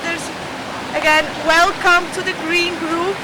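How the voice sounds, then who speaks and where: High-voiced Swiss woman tour guide. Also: flat delivery, takes it slowly, background noise. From Switzerland. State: runny nose. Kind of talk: casual welcome talk to a tour group.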